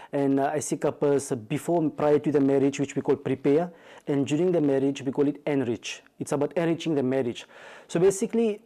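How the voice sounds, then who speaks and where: A man from Mauritius with a Mauritian accent, a marital counselor speaking as a guest on a talk show, measured with frequent hesitations and restarts. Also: fairly high pitch, dark tone. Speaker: marital counselor and Islamic studies teacher.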